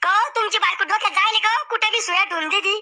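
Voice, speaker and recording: A woman's voice: very high-pitched, quick pace, pushed and bright, full character voice. A woman voicing a cheeky, idle young grandson in a cartoon, bantering in a rustic way. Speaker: game show contestant. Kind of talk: cartoon comedy acting.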